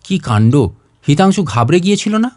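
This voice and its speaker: Male narrator as cocky young pal, teasing and self-assured, storybook dialogue, slightly high pitch, dry sound. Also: sing-song, fairly quick, darkish tone, no room sound. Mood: teasing, reassuring.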